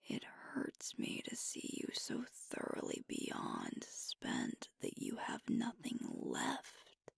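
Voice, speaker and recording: A woman voicing a sweet, devoted werewolf girlfriend in an ASMR roleplay, whispering tenderly and unhurriedly with a breathy voice close to the mic. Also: no echo, close to an everyday voice. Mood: worried, earnest. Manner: tender, earnest, soothing, unhurried. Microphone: close microphone, dry.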